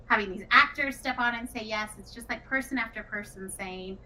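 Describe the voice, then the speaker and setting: A woman's voice: a little high, brisk, pushed and bright. A woman who works as a game writer and director, chatting casually in an earnest, reflective manner. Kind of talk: casual developer chat. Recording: clearly noisy background.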